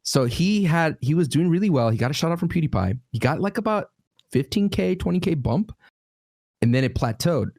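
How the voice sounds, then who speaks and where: A man who is a guest on a podcast, in casual chat, talking in an animated, excitable way, his voice jumping up whenever he stresses a point. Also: fairly high pitch, brisk, dry recording, clean studio sound.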